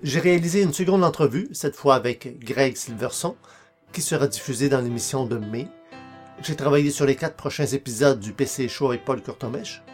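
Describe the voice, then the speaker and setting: A man's voice: medium pitch, brisk, faint music behind. A man with a Quebec French accent presenting a podcast as its host in a scripted narration style.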